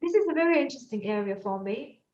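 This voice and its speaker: A fairly high-voiced woman with a Bulgarian accent gives an astrology lesson, thinking aloud and stopping on "uh" and "um" as she builds her points. She sounds set back from the microphone in a room with a clear echo, and the sound is slightly dull. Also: animated, fast talker, a little mellow, slight background noise. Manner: thoughtful, searching for words with frequent fillers. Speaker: astrology teacher. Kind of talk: lecture on astrology. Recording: echoey room, mic at a distance, dull sound.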